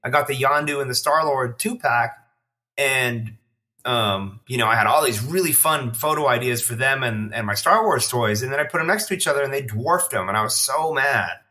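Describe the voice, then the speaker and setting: A man's voice: medium pitch, moderate pace, bright, forceful tone. A man in a casual podcast chat, talking in a rambling, slangy, enthusiastic way as a collector guest.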